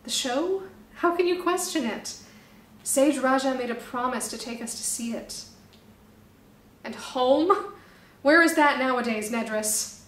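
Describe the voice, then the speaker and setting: A woman's voice: sits high, normal speed, reverberant, recorded from a distance, natural voice. Woman voicing Ophis, an eager young snake prince.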